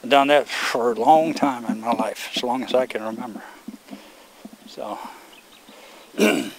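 A man's voice: mid-range voice, a little slow, gravelly, poor audio. An older man from Sweden, strained as he loses his voice, chatting casually to viewers while walking a rural road and filming on a handheld camera. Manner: relaxed, rambling, talks to viewers while walking. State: losing his voice. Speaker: vlogger. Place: outdoors on a rural road among rice fields. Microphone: handheld camera on a selfie stick.

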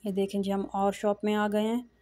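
Soft-spoken middle-aged woman vlogging. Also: medium pitch, moderate pace, dry recording. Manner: calm, soft-spoken, frequent hesitations and pious phrases. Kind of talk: casual vlog narration. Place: large indoor shopping mall. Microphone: phone camera held while walking.

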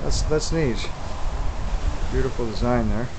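A man hosting a casual walk-through tour of a waterfront restaurant and bar, talking into a handheld camera. He sounds friendly and admiring, with plenty of fillers. Clear outdoor noise sits under his voice. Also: mid-range voice, unhurried. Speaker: tour host filming a restaurant.

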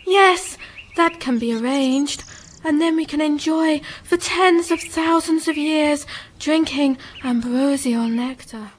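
A high, serene female voice acted by a woman of an otherworldly moon dweller in a radio play. She speaks in a measured, enticing way. Faint noise and birdsong sit behind her. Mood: gently insistent. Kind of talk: radio drama acting.

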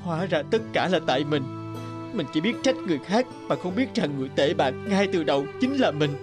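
Casting: male actor as anxious, earnest young man for cartoon drama, slightly high voice, music underneath. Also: lively intonation, brisk, lightly acted. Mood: remorseful. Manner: pleading, earnest. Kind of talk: dubbed cartoon drama acting.

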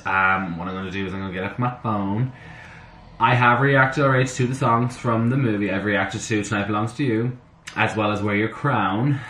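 A slightly hoarse young man chatting excitably to camera in his bedroom, talking to himself as he reacts to music. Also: on the low side. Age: young adult. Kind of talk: casual reaction chat. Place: bedroom at home, indoors. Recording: roomy bedroom with faint music. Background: soundtrack music playing faintly.

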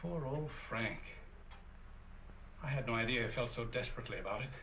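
A man's voice: medium pitch, a little fast, bright, forceful tone. An actor playing a well-mannered older businessman, polite and a little hesitant, in 1940s film style. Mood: shaken. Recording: muffled, hissy 1940s film soundtrack.

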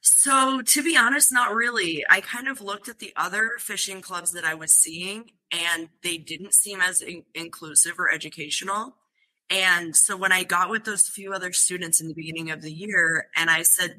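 A woman's voice: fairly low pitch, pushed and bright, poor audio. A young woman who founded a college fishing club, answering podcast interview questions earnestly, with frequent fillers and restarts.

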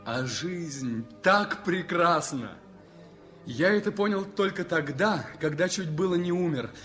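A tender young journalist, gravely ill and in love, speaks slowly from a 1960s radio drama. His voice sits a touch high and moves widely in pitch. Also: animated, a bit bright, soft background music. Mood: earnest. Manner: tender, earnest. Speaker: Frantishek. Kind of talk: 1960s radio play acting.

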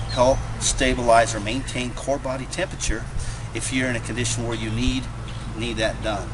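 A man with a middle-pitched voice explains survival gear in a plain, matter-of-fact way, sometimes restarting a thought. He is recorded outdoors, with steady traffic and road noise clearly heard behind him. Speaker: host showing survival gear. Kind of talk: unscripted how-to talk to camera.

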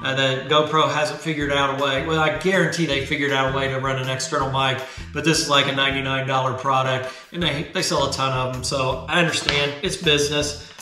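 A man in his fifties hosting a motorcycle vlog channel, explaining a helmet setup in a relaxed, chatty, self-deprecating way at a desk indoors. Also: medium pitch, brisk, echoey room, faint music behind. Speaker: motovlog channel host. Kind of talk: casual gear tutorial. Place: indoor garage studio.

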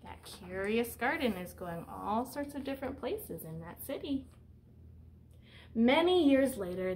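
A woman's voice: a little high, a little mellow, slight background noise, rough recording. A woman in her thirties reading a picture book aloud as a teacher, explaining simply and putting questions to young children. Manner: instructive, simple phrasing aimed at young children. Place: small room indoors.

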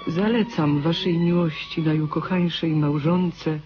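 A man's voice: on the high side, steady tone, measured pace, close to an everyday voice. An actor playing a resigned, devout old husband giving his farewell in a radio drama. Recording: dull sound, music behind.

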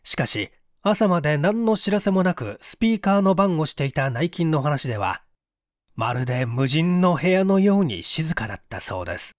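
A man's voice: fairly high pitch, very animated, normal speed, no echo, clean studio sound. A man reading a literary mystery story aloud as scripted audiobook narration. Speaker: audiobook reader, stage actor.

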